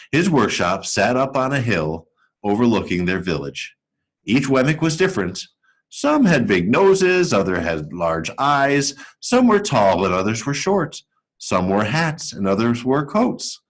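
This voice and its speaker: Man, children's story read-aloud, educator, expressive, deliberate, swooping animated pitch. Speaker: school educator reading aloud to pupils.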